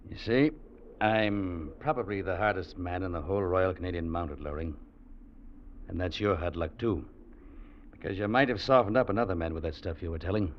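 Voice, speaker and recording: An actor playing a hard, dutiful Mountie sergeant who talks curtly and sternly, in 1940s radio drama style. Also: male voice, deep voice, moderate pace, natural voice. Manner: curt, stern and unyielding. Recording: dull old radio recording, faint hiss.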